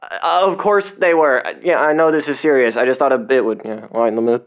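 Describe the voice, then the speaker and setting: An actor playing a cocky, flippant young male YouTube investigator in an audio drama, excitable and hesitant when challenged. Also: medium pitch, fairly quick, smooth, muffled recording, natural voice. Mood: sheepish, apologetic. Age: young adult.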